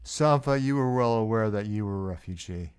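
A man's voice: on the low side, brisk, darkish tone, clear, resonant tone. A man hosting a community radio interview in a station studio, introducing guests and reading station notices in an announcer-like way. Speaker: radio host.

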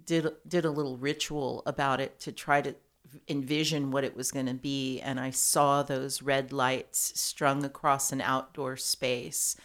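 A woman who runs a theater, talking in an easygoing, chatty way in a casual conversation. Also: extremely low pitch. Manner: chatty, easygoing, laughs often. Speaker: theater owner.

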